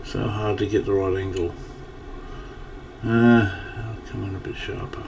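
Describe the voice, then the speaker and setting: A man with a fairly low, slightly scratchy morning voice talks his way casually through a video game. He rambles and thinks aloud as he goes. The microphone sits a little away from him, and there is faint noise in the background. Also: level tone, a little slow, vocal fry, a little mellow, far from the mic. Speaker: gaming channel host. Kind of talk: casual gameplay commentary.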